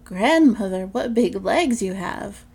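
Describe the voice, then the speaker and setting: A woman's voice: medium pitch, steady tone, brisk, dark tone, close to an everyday voice. A woman voicing an innocent, chatty little girl from a fairy tale. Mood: wondering. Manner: naive, wondering.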